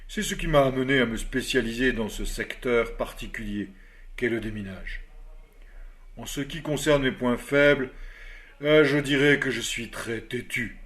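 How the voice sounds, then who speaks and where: An actor playing a composed army officer who answers job interview questions in a measured, formal way, in a scripted learner dialogue. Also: male voice, medium pitch, a bit bright, lightly acted. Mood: calm.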